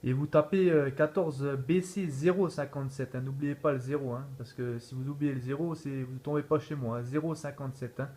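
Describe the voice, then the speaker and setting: A man's voice: medium pitch, steady tone, brisk, few pauses, dark tone. A man chatting casually as an amateur radio operator, in an easygoing way with frequent repeated tag words. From France. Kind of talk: casual radio contact chat.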